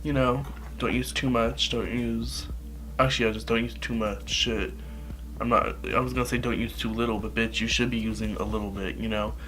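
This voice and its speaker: A man's voice: mid-range voice, steady tone, brisk, fairly bright tone, faint music behind. A young man with a stuffy, nasal voice from a cold, chatting loosely through a makeup tutorial into his camera, swearing and saying "like" constantly. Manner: rambling, profane, filler-heavy. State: sick, nasal. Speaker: makeup vlogger.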